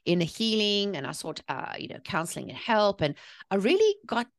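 A woman's voice: medium pitch, moderate pace, no echo. South African woman, dry close voice. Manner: animated storytelling, candid. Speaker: podcast guest. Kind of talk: conversational interview.

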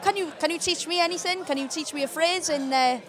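A woman's voice: sits high, a little fast, crowd noise. A young Welsh woman with a Welsh accent, chatting in a friendly way and patiently teaching phrases. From Wales. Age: young adult. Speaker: local guest. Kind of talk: casual chat.

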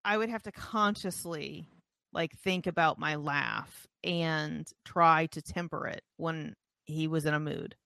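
Calm explaining woman, dry close sound. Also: low-pitched, a little slow, vocal fry, dry recording. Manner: calm, explanatory, affirms with soft interjections. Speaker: podcast co-host. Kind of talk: candid conversational podcast talk.

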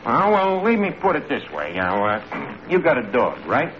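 An actor playing a self-assured, scheming working-class tavern manager with a New York accent and mangled folksy grammar in an old radio comedy. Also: male voice, medium pitch, huge pitch swings, moderate pace. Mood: explaining. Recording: muffled, noisy vintage radio recording.

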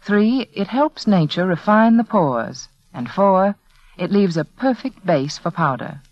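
A woman's voice: mid-range voice, lively intonation, unhurried, no room sound. A woman hostess delivering a scripted 1940s radio commercial in a polished, persuasive way, on a muffled old recording. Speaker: radio show hostess and sponsor spokeswoman.

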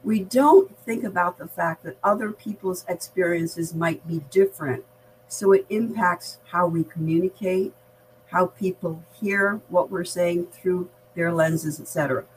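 An older woman with an American accent speaks in a measured, articulate, explanatory way, reflecting in conversation as an experienced interview guest. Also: on the low side, a little hiss or hum, rough recording. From Barbados. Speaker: veteran diversity practitioner, podcast guest.

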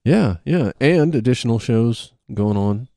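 A man's voice: medium pitch, animated, a little slow, warm tone, no room sound, clean studio sound. A man co-hosting a casual chat, talking informally with the odd swear word. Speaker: podcast co-host.

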